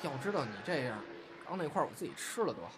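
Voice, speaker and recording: An actor playing an eager, cheeky young apprentice who grumbles in an audio drama. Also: male voice, on the high side, big pitch swings, racing, a little hiss or hum. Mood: pouty.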